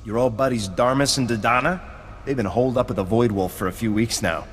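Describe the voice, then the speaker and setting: A man's voice: mid-range voice, a little fast, close to an everyday voice. A man playing a groveling gangster informant. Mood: fawning. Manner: hesitant, fawning, stammering. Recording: game audio with long reverb, faint noise.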